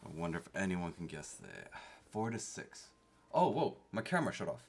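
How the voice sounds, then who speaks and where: A man's voice: low-pitched, wildly swooping pitch, moderate pace, gravelly, a little mellow, slight background noise. A young man from Canada who grew up speaking Korean at home, chatting casually with live-stream viewers and reading the chat aloud. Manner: chatty, reads chat aloud, playfully defensive.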